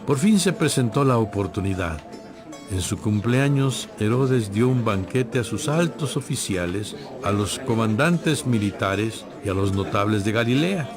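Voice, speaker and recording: A man narrating a dramatized audio Bible from a script in solemn, clearly phrased storytelling. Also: on the low side, expressive, unhurried, music in the background.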